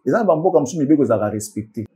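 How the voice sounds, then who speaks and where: A man's voice: mid-range voice, expressive, very fast, background noise. A man commenting in a casual studio discussion, switching between French and Lingala, often restarting and repeating words. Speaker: commentator guest.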